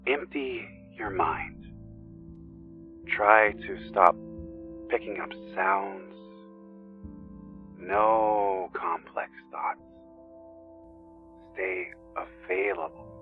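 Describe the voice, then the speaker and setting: A man acting in an audio drama as an earnest spiritual friend, coaxing and deliberate, who guides meditation. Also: sits low, narrow, tinny audio, soft background music. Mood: calm, hypnotic.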